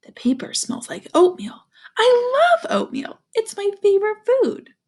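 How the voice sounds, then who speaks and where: Woman voicing an excitable, eager pet dog. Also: very high voice, measured pace, voice pushed up, full character voice. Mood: delighted. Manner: excitable, animated, simple short sentences. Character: eager, excitable male pet dog narrating his own story. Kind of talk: children's storybook read-aloud.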